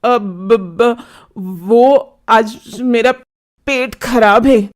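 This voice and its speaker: A woman's voice: on the high side, drawn-out words. A woman voicing a cowardly, dishonest male shopkeeper in a children's cartoon story, stammering and frightened. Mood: pleading, terrified. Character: cowardly, lying male sweet seller.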